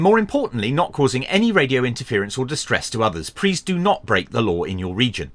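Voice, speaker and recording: Male reviewer, methodical and explanatory, medium pitch with lively inflection, dry recording. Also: no room sound. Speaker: radio reviewer presenting a video. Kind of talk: hands-on product review commentary.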